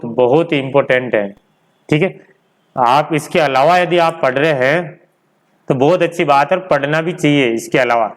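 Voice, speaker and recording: Male coaching teacher, crisp clear tone, slightly raised pitch, informal lecturing with repetition and rhetorical questions. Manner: repeats key points, poses questions and answers them himself. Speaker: teacher of an online exam-prep class.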